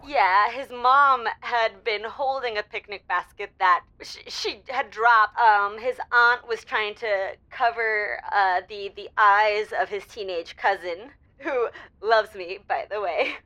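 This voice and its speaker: A woman playing a cheeky, sheepish married mother calling a radio show, speaking haltingly and coyly in an improvised comedy. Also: mid-range voice, pushed and bright. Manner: halting, giggly, coy. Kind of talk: improvised audio comedy dialogue.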